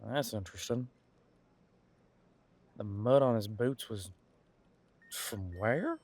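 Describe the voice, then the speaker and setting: A man's voice: on the low side, slow, a little mellow, slightly rough. A man playing a casual, wry police detective in an audio roleplay, musing in a conversational, hesitant way. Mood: puzzled, intrigued.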